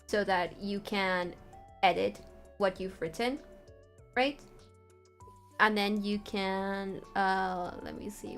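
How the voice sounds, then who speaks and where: A woman streamer walking viewers through a writing tool in an informal, meandering way. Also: mid-range voice. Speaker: streamer giving a tutorial. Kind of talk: casual livestream walkthrough of a writing tool.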